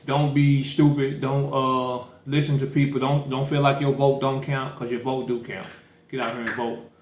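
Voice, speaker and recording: A man's voice: medium pitch, level tone, normal speed. A man with a St. Louis accent co-hosting a casual podcast, talking loosely and joking. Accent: St. Louis, Missouri. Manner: loose, joking, urging listeners. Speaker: podcast co-host. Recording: echoey room with faint noise.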